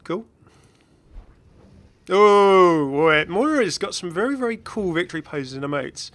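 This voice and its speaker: A man hosting a gaming video with casual, excited reaction commentary in a rambling, exclamatory way. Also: high-pitched, unhurried. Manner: rambling, exclamatory, chuckling asides.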